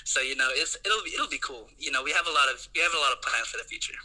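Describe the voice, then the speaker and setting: A man's voice: medium pitch, animated, fast talker, sharp tone, rough recording. A young man from the Philippines, a podcast guest, chatting enthusiastically and rambling with many fillers and restarts. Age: young adult. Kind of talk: casual chat.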